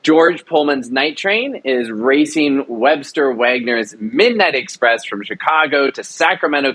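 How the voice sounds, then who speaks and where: A man, a producer and guest in a casual interview, speaking in an easygoing way and laying out a story pitch clearly. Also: fairly bright tone, rough recording.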